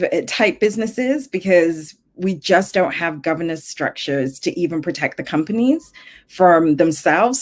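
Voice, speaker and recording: Low-voiced woman on a panel. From Zambia. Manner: thoughtful, with frequent fillers and restarts. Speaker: panelist and tech founder. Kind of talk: panel discussion.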